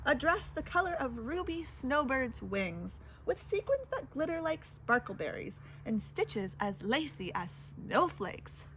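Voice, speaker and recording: A woman's voice: sits high, big pitch swings, a little slow, few pauses, a bit bright, slight background noise, heavily acted, poor audio. A woman voicing a spoiled, imperious young princess in a storybook, demanding and snappish.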